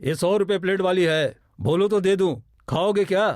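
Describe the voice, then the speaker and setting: A man's voice: sits high, moderate pace, a little mellow, no echo, clean studio sound, close to an everyday voice. A man voicing a greedy, boastful crow who sells biryani in a cartoon moral story, exclaiming and self-satisfied. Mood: scheming.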